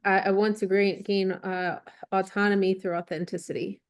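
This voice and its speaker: A woman's voice: medium pitch, little pitch movement, moderate pace. A woman taking part in a coaching demonstration on a video call, speaking hesitantly with many fillers. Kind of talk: casual explanation.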